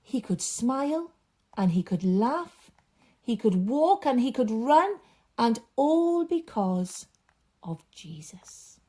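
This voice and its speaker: A woman storyteller speaks to children in a highish, lively voice. Her phrasing is deliberate and dramatic, and a husky breathiness softens it, often into a hush. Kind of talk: expressive story reading and gentle teaching for children.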